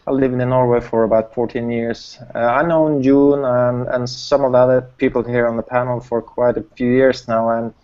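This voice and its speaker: Flat-toned man on a call. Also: mid-range voice, moderate pace, few pauses, clear, resonant tone. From Sweden. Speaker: panel guest. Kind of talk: casual video call talk. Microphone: video call. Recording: dull lo-fi call audio.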